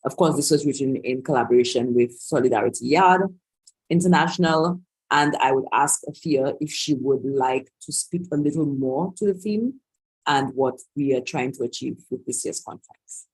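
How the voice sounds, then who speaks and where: A woman's voice: extremely low pitch, moderate pace, darkish tone, husky, poor audio. A woman organiser hosting a live online Q&A on a video call, talking loosely with many restarts and asides. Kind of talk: live-streamed online Q&A talk.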